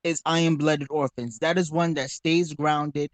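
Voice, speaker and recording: Higher-voiced young man, dry sound. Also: fairly even intonation, measured pace, no echo. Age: around 20. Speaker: podcast panelist. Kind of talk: casual anime discussion.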